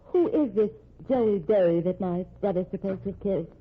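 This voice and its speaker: A woman plays an anxious wife in an old-time radio drama. She speaks hesitantly in a husky voice of middling pitch. The sound is muffled and narrow, like a vintage broadcast. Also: normal speed, close to an everyday voice. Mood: anxious, guarded. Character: anxious wife, worried sister of a fugitive.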